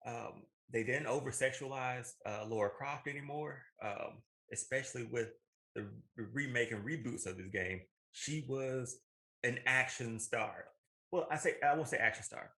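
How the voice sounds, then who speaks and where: A man's voice: mid-range voice, moderate pace, sharp tone. A man co-hosting a casual podcast, talking emphatically and rambling as he corrects himself. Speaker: podcast co-host. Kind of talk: casual animated movie discussion.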